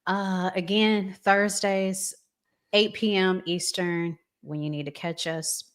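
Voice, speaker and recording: A woman's voice: mid-range voice, fairly even intonation, takes it slowly. A woman hosting a talk show in an informal, colloquial way, introducing topics for discussion.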